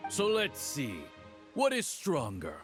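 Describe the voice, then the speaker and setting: An actor playing a smug, cruel aristocratic baron in a video game, mocking his foes in a theatrical, drawn-out way. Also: on the high side, wildly swooping pitch, music in the background, full character voice. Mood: menacing. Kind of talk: dramatic video game acting.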